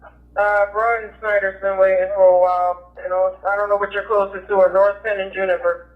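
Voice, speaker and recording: A woman's voice: mid-range voice, level tone, brisk. A woman working as a police dispatcher, talking over police radio. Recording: tinny narrowband police scanner radio.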